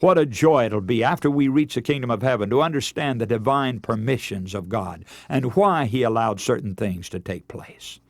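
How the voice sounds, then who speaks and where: A man preaching a radio sermon in earnest, measured oratory, moving between scripture and exhortation. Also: mid-range voice, no room sound.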